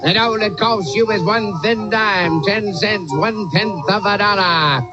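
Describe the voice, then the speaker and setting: An actor playing a brash carnival sideshow barker in old-time radio comedy, declamatory and brusque. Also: male voice, sits high, measured pace. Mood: showy hawking. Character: brash male carnival sideshow barker, fast-talking showman. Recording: dull vintage radio recording with music.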